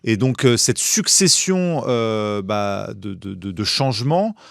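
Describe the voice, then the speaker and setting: A man's voice: lowish voice, sing-song, slow, vocal fry, dry recording, clean studio sound. A man interviewing a guest, asking short follow-up questions.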